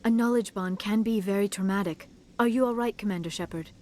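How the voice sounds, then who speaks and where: An actress playing a concerned, dutiful squadmate of a space commander in a video game. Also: female voice, mid-range voice, brisk, darkish tone, natural voice. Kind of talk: video game dialogue acting.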